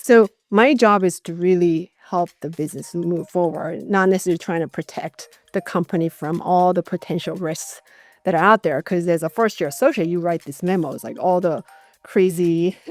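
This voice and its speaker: A woman's voice: fairly low pitch, a little mellow. A middle-aged woman giving candid, reflective answers in a conversational interview as a corporate executive guest.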